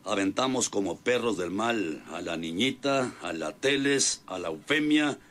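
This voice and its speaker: A man's voice: on the low side, lively intonation, measured pace, fairly bright tone. A man acting a boastful, bossy ranch boss in an old-fashioned radio drama, with a rural northern Mexican accent and folksy, domineering manner. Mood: gloating.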